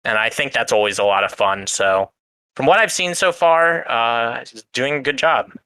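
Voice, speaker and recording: A man's voice: mid-range voice, expressive, moderate pace, sharp tone, clear, resonant tone, no echo, rough recording. A man chatting casually as a podcast co-host, in an earnest, hesitant, rambling way. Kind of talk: casual chat.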